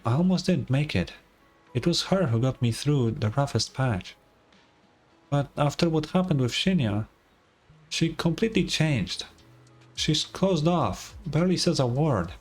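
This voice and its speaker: A man puts on a worried young child from a story game. The voice is lifted well above an adult male's, earnest and hesitant, pleading softly on behalf of a best friend, with music behind it. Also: on the high side, pitched above the performer's own voice.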